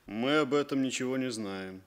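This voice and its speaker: An actor playing a guarded, evasive Sicilian villager who denies knowing anything, in a 1960s Soviet radio play. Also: male voice, medium pitch, normal speed, close to an everyday voice. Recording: noisy old radio recording with clicks.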